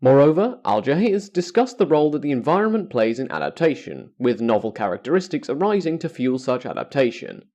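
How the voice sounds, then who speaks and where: A man's voice: mid-range voice, big pitch swings, brisk, few pauses, warm tone. A man hosting an educational podcast, reading scripted narration in a formal, carefully structured way.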